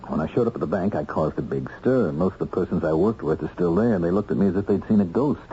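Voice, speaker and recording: Earnest, low-voiced ex-convict. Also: male voice, fast, warm tone. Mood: earnest, intent. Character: earnest, wry ex-convict husband, hunting for the truth. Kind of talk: radio drama acting. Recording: dull, noisy old radio recording.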